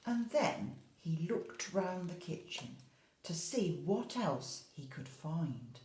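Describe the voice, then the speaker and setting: Expressive woman reading a storybook, echoey room. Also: fairly low pitch, takes it slowly, slight background noise. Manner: expressive, storytelling, prompts children with questions. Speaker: infant school teacher. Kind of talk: animated picture-book reading for young children with asides.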